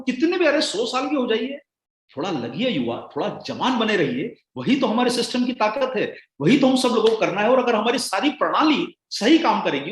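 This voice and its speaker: A man giving a motivational sales training talk, rousing and calling his listeners friends, his voice swinging between loud and hushed. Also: high-pitched, echoey room.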